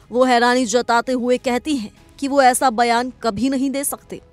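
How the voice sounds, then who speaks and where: A woman presenting a scripted entertainment news report as a narrator. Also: a little high. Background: background music.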